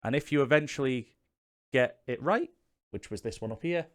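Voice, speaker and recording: Guy doing a casual how to play video, chatty, normal pitch, pokes fun at himself. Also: moderate pace. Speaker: host of a board game tutorial channel.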